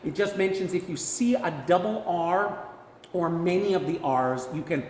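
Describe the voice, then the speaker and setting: A man's voice: high-pitched, expressive, normal speed, darkish tone, a little hiss or hum. A man leading a choir rehearsal, explaining things in an informal, encouraging and self-deprecating way. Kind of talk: instructive rehearsal talk. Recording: echoey reverberant room with piano music.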